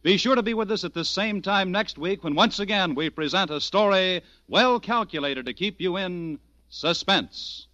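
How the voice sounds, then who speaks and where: A man's voice: high-pitched, expressive, normal speed, pushed and bright. A man with an American accent announcing in polished, scripted 1940s network radio style. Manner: polished, promotional. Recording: dull vintage radio recording, music behind.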